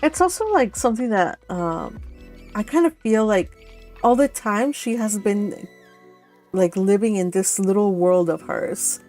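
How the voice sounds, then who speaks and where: Slow-talking giggly woman from Mexico. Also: mid-range voice, lively intonation, a little mellow, faint music behind. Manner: laughs often, many fillers like "like" and "um". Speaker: book club host. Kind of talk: casual chat and reading aloud.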